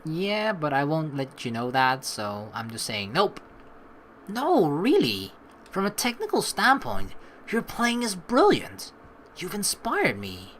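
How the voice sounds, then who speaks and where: A man's voice: on the high side, slow, slight background noise. A young man giving chatty let's play commentary, reading game text aloud and reacting to it. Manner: animated, chatty, reacting as he reads.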